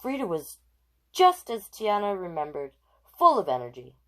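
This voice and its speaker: A woman's voice: mid-range voice, normal speed. A woman hosting a storytime, reading a children's picture book expressively with chatty asides to her viewers. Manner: expressive, chatty, addresses live viewers between passages. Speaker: storytime host and drag king reader.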